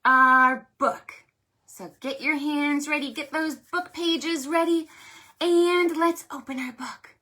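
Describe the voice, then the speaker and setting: A woman hosting a preschool storytime, speaking to small children in an animated, sing-song, exaggerated way. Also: high voice, takes it slowly. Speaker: children's librarian, storytime host.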